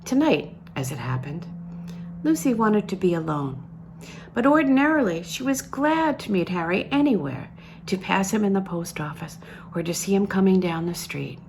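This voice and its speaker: A woman's voice: medium pitch, animated, normal speed, a little hiss or hum. A woman reading literary fiction aloud as an audiobook, phrasing it expressively and with emphasis. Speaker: audiobook reader.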